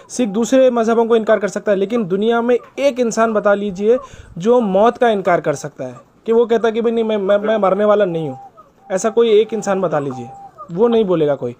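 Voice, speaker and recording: A man's voice: sits high, darkish tone, a little hiss or hum. A man making an earnest, persuasive appeal on a recorded phone call, polite and deferential, repeating himself for emphasis. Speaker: caller.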